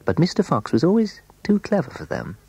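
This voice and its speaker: A man narrating a scripted children's story in a measured, dramatic way, letting phrase endings fall hushed. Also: on the high side, huge pitch swings, creaky, breathy edge, dry recording.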